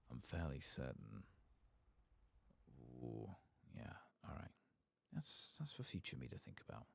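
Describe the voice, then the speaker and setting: A soft-spoken man doing ASMR game commentary, murmuring and musing aloud to himself at a close microphone. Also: rumbling low, huge pitch swings, slow pace, vocal fry, pauses often, husky. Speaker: host of an ASMR game playthrough channel.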